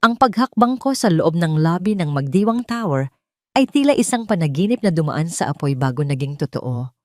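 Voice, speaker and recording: A woman narrating a dramatic first-person story in a solemn, deliberate storytelling manner. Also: low voice, very animated, dry recording, clean studio sound.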